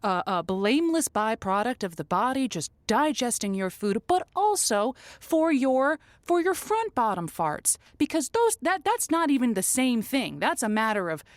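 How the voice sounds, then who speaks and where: Comic call-in host: woman, slightly high register, conversational, emphatic, folksy, very dry sound. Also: sing-song, moderate pace, few pauses, dry recording. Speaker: advice show host.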